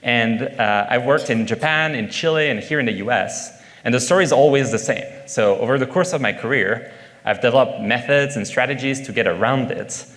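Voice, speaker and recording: A man's voice: mid-range voice, fairly quick, fairly bright tone, in a large hall. A man with a French accent giving a conference talk, hesitant with many fillers, asking the audience questions. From France. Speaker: conference speaker, product manager.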